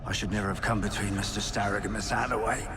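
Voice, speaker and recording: An actor playing a regretful, dying Victorian businessman in a video game, his voice hushed and breathy. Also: lowish voice, steady tone, quick pace, close to an everyday voice. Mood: resigned, fading. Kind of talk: dramatic video game acting.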